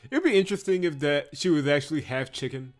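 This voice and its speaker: A man's voice: on the high side, expressive, fast. A man giving casual playthrough commentary with wry, teasing asides and reading narration aloud. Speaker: let's-play host. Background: game music.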